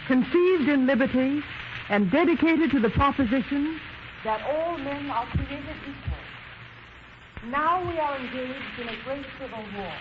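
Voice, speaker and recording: A woman reciting formally in a measured, declamatory manner on 1930s radio. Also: sits high. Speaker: actress demonstrating microphones. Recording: noisy muffled 1930s radio recording.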